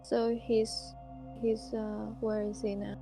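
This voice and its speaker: Hesitant, slow-talking woman, slightly high voice. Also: little pitch movement, creaky, music in the background. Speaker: player. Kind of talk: casual tabletop game chat.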